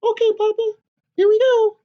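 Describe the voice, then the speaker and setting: A woman voicing an eager, excitable little girl chicken in a storybook read-aloud, squeaky and pleading. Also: normal speed, highs cut off. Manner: squeaky, exclaiming, childlike.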